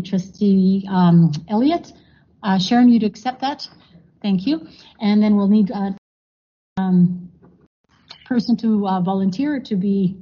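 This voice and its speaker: A woman's voice: medium pitch, mellow, breathy edge. A woman chairing a formal board meeting, moving through motions with fillers and frequent thanks. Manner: procedural, many fillers and thank-yous. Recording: dull lo-fi meeting recording.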